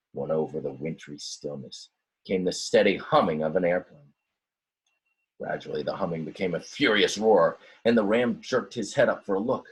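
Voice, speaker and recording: A man reading a children's storybook aloud in a dramatic, expressive way, with simple explanatory asides for young listeners. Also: deep voice, husky, poor audio. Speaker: teacher reading a picture book aloud.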